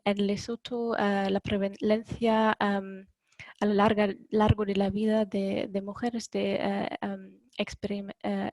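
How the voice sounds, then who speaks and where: A woman's voice: medium pitch, darkish tone, no room sound. Hesitant non-native woman presenting. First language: not Spanish. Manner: hesitant, many ums and self-corrections. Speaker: webinar presenter.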